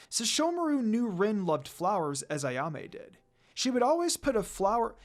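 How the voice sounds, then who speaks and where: A man with a fairly high voice narrates a story aloud. His pitch moves around in a lively, expressive way as he reads. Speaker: fan fiction reader.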